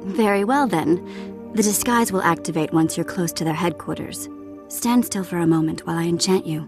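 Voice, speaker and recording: Low, poised noble sorceress played by a woman. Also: moderate pace, lightly acted. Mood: composed. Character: poised, self-assured court countess and sorceress. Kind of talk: video game voice acting. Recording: music behind the voice.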